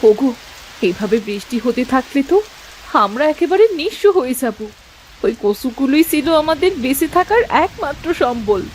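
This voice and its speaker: Woman acting an anxious, high-voiced village wife. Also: lively intonation, moderate pace, slight background noise, poor audio. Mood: worried. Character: anxious, pious farmer's wife and mother. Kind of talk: animated moral-tale dialogue.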